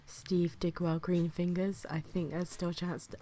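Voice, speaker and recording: Low-voiced woman with a flu. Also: little pitch movement, moderate pace, warm tone, slight background noise. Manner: chatty, self-deprecating, with occasional whispered asides. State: sick with flu, breathy. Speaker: gardening vlogger. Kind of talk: casual vlog narration.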